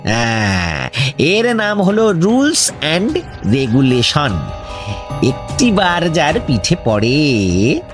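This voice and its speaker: An actor playing a bustling, self-important police officer in a comic radio drama, bossy and commanding. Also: male voice, fairly high pitch, huge pitch swings, takes it slowly, music in the background, heavily acted. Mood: pompous. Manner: pompous, commanding, dotted with English words.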